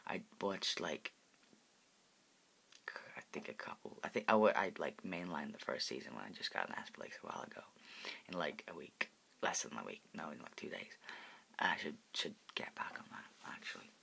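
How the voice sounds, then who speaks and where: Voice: rumbling low, expressive, moderate pace, vocal fry, fairly bright tone, breathy edge. A person in their late twenties whispering a casual, profane chat from a parent's house, full of "like" fillers. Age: 20s. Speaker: video blogger answering viewer requests.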